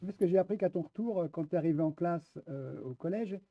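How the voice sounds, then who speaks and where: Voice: mid-range voice, brisk, lo-fi sound. An interviewer asking friendly questions in a casual chat, laughing easily.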